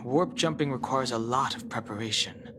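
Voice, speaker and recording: Level-headed young man played by an actor, medium pitch. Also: normal speed, heavy background noise, close to an everyday voice. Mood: calm. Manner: calm, explanatory. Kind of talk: scripted video game acting.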